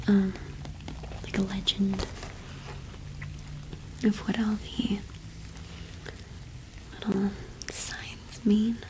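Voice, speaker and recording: A young woman whispering in a breathy, gentle, unhurried way, as in an ASMR roleplay. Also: medium pitch, rough recording. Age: young adult. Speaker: ASMR host playing a shopkeeper.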